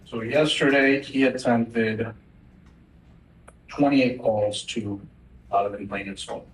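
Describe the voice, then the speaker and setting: Halting male prosecutor, slight background noise. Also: mid-range voice, poor audio. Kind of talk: halting courtroom report. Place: courtroom.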